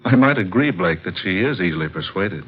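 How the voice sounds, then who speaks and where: An actor playing an urbane, slightly hesitant gentleman lover in old-time radio drama style. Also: low-pitched, animated, a little fast, breathy edge. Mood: smoothly defiant. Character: smooth, uneasy gentleman, wife's secret lover. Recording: dull lo-fi vintage radio recording.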